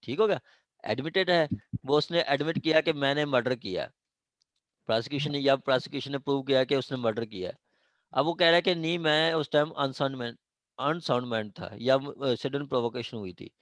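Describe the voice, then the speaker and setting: A man's voice: medium pitch, fairly quick, a bit bright, dry recording. Pakistani man lecturing on a dry close recording. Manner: didactic, frequent check-in questions to students. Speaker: law lecturer. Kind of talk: informal classroom law lecture mixing Urdu and English legal terms.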